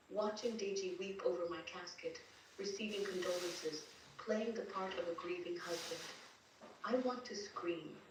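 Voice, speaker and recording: Echoey distant recording, woman acting a scared mournful wife telling a dark tale, lowish voice, slow and dramatic. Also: natural voice. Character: terrified, grieving young wife recounting abuse from beyond death. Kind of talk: first-person dramatic story narration. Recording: distant, very echoey, faint noise.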